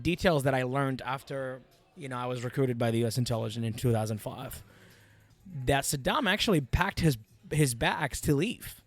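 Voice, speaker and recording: A man's voice: medium pitch, dry recording. Iraqi-accented man telling his story, dry sound. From Iraq. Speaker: interview guest, military veteran. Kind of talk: personal storytelling.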